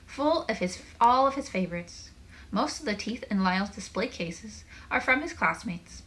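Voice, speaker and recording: A woman with a bright, clear-cut tone reads a children's story aloud. She has an average pitch and an animated delivery. She speaks to young listeners like a teacher, slipping in chatty asides. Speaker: teacher reading a book aloud.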